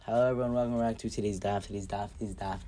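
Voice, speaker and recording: A hoarse young man hosting an upbeat daily Torah lesson podcast, cheerful and stumbling now and then over Hebrew terms. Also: lowish voice, fairly even intonation, quick pace, warm tone. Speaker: podcast host teaching Mishnah.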